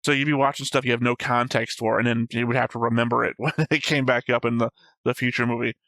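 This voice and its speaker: A man with a fairly flat, even delivery talks casually, like a show host thinking aloud. He rambles through explanations in an informal way, and the sound is close and dry. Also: medium pitch, quick pace, no echo. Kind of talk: casual chat, discussing an anime episode.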